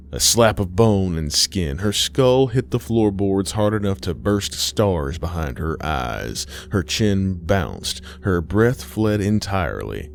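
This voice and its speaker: Male horror narrator: low, gravelly register, unhurried and suspenseful dramatic delivery with deliberate pauses. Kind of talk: scripted dramatic audiobook narration of Southern Gothic horror.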